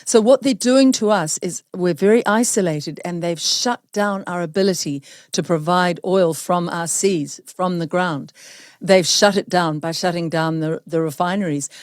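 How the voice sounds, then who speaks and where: A woman's voice: fairly low pitch, moderate pace, no room sound, clean studio sound. A woman from New hosting an interview, speaking emphatically and indignantly. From New Zealand. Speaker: host. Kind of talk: opinionated interview talk.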